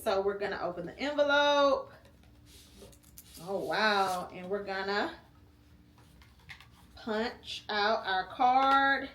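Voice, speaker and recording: A woman reads a storybook aloud at a slow, unhurried pace, gently prompting her child between pages. Her voice sits at an ordinary pitch. It carries a noticeable room echo from the home setting. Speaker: parent reading a picture book aloud with her child. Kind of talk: read-aloud storytime and casual chat.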